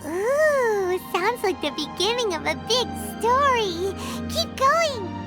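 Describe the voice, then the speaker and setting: A woman voicing a small, curious, excitable childlike fairy companion in a video game, with a squeaky voice and exclaiming manner. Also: takes it slowly, exaggerated voice. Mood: awed. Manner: exclaiming, speaks of self by name. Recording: loud music behind.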